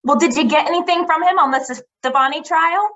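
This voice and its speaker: Woman playing a high-voiced, wry office secretary, muffled. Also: a little fast, fairly bright tone. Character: wry, efficient female newspaper office secretary. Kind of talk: old-time radio drama acting.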